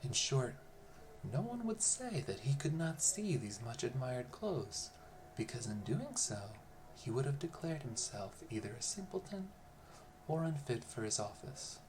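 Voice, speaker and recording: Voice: mid-range voice, measured pace, background noise. Lilting bedtime storyteller with very varied intonation. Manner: calm, soothing, lilting. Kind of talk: bedtime fairy-tale narration.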